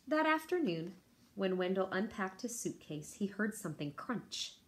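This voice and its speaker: A woman teacher reading a picture book aloud to children, expressive, with chatty asides to her young listeners. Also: medium pitch, measured pace.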